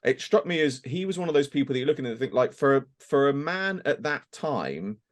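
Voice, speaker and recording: A man's voice: mid-range voice, sing-song, a little fast. A man chatting casually on a podcast as a voice actor guest, rambling a little and hesitant as he thinks aloud.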